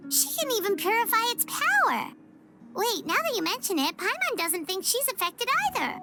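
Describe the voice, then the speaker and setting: Tiny fairy companion, extremely high piercing voice, very animated, video game, light music behind. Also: female voice, moderate pace, full character voice. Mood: chirpy, curious. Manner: refers to self in third person. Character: small childlike chirpy floating fairy companion, female.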